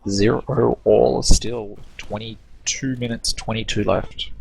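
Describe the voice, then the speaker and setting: A man's voice: on the low side, fairly even intonation, slow pace, vocal fry, a little mellow, husky, rough recording. A man casting an online team shooter match, breaking down plays in an analytical, stop-start way. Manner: analytical, stumbling restarts. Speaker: esports caster. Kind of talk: game commentary.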